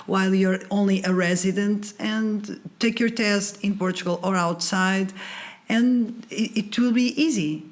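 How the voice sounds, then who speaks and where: A woman working as an immigration consultant, explaining things calmly and reassuringly with a few fillers. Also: mid-range voice, measured pace. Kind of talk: video call interview.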